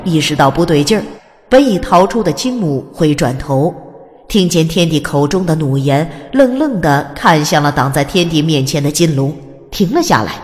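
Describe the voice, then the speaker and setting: A woman narrating a fantasy audiobook in a dramatic, scripted storytelling style. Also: sits low. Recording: long reverb over music. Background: music.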